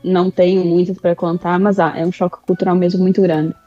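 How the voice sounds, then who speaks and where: Brazilian woman with a Portuguese-tinged accent, mellow. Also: on the low side, level tone, dull audio, soft background music. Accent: Brazilian with European Portuguese touches. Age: mid-30s. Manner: reflective, halting, with restarts. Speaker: guest. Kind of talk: remote interview.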